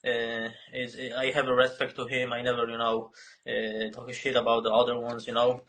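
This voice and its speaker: A man in his twenties with a Polish accent, a fighter answering interview questions in halting, broken English full of fillers and swearing. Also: medium pitch, completely flat delivery, a bit bright, rough recording. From Poland. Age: 20s.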